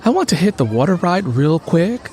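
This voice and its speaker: A flirty, sassy woman , as a male narrator puts her on, teases with sultry confidence. The storybook dialogue swings in pitch and is animated. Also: normal speed, a faint crowd. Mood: eager. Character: flirty, sassy wife playing seductress.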